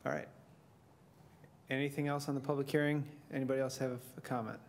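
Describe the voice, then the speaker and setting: A man's voice: medium pitch, steady tone, a little fast, a little hiss or hum. A man chairing a village board public hearing in a large council chamber, talking casually with some restarts.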